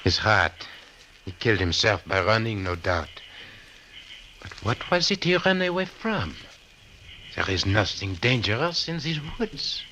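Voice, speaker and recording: An actor playing a grave, urgent woodsman in an old radio drama, speaking in formal, deliberate phrases. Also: fairly low pitch, very animated, background noise. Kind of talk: 1940s radio acting.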